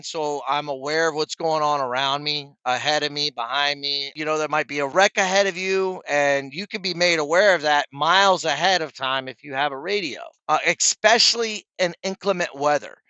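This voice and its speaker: A man's voice: a little high, normal speed, hardly any pauses, bright, forceful tone, smooth, no echo, dull audio. A middle-aged man telling stories and giving advice to viewers in a casual, chummy vlog style, as a trucker presenting to his peers. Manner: chummy, addresses viewers as guys.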